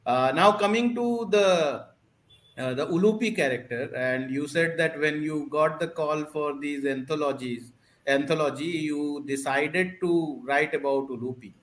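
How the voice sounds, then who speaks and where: Male host, fairly high voice. Interviews guest. Halting, lots of fillers. Echoey room. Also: a bit bright. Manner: halting, filler-laden questions. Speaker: podcast host.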